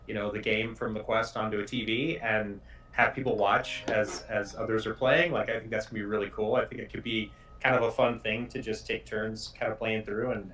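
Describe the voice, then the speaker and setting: A man's voice: lowish voice, a bit bright. A male game developer talking casually in an interview. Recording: distant mic.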